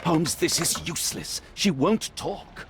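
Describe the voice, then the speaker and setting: A man's voice: on the high side, measured pace, music in the background. An actor playing a detective's concerned, practical companion, a man speaking urgently and earnestly in a video game drama. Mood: firm.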